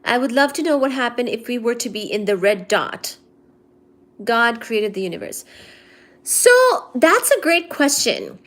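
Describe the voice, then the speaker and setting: Chatty woman host, slightly high voice. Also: expressive, measured pace, fairly bright tone. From India. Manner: chatty, teasing, reads chat aloud and answers it. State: low-energy today. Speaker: livestream host. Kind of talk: casual live-stream chat with viewers.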